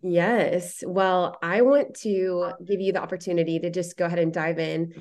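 A woman's voice: on the low side, steady tone, normal speed, darkish tone. A woman hosting a podcast, asking friendly, encouraging open questions. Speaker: podcast host. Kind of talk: casual interview hosting.

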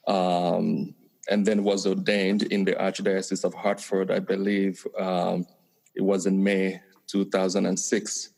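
A man from Nigeria with a Nigerian accent, a priest and counseling professor answering interview questions in a measured way with many pauses. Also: deep voice, completely flat delivery, gravelly, a little mellow. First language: Igbo. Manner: measured, many pauses and fillers, light laughs.

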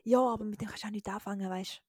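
A woman's voice: mid-range voice, fairly even intonation, racing, a little mellow, breathy edge, dry recording, clean studio sound. A young woman in her twenties with an Eastern Swiss German accent, chatting casually as a podcast co-host, giggly and full of fillers. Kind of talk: casual chat.

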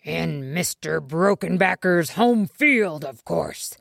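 Storybook villain: woman doing a slow, gravelly, scheming wolf, low and sly. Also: lively intonation, fairly bright tone, dry recording, studio quality, heavily acted. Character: big bad male wolf, sly and menacing.

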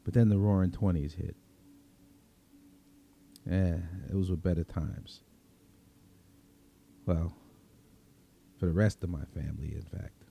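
A man's voice: low voice, fairly even intonation, slow pace, gravelly, long pauses, mellow, a little hiss or hum, full character voice. A man reading a first-person story as a world-weary, streetwise vigilante vampire, in a conversational, brooding Brooklyn accent. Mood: reminiscing. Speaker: story reader.

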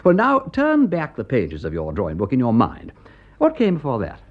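An actor playing a genial upper-class English gentleman detective in a radio drama, chatting and coaxing in an amused way. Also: medium pitch, huge pitch swings, normal speed. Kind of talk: full-cast radio drama acting. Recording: slightly dull old radio recording.

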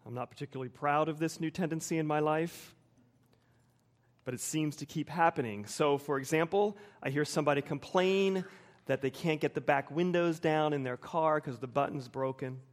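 An American man in his forties preaching a sermon with personal anecdotes, measured, with emphatic pauses and repeated phrases. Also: fairly high pitch. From United States, suburban Wilmington. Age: 40s.

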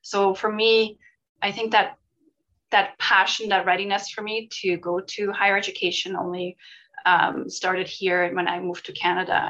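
A woman's voice: medium pitch, creaky, a bit bright, lo-fi sound. A middle-aged woman from Switzerland answering interview questions thoughtfully, with frequent fillers and restarts. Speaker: guest, volunteer charity leader.

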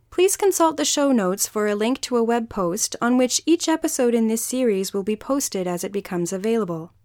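A woman's voice: medium pitch, a little mellow. A woman hosting a podcast, reading scripted closing narration. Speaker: podcast host.

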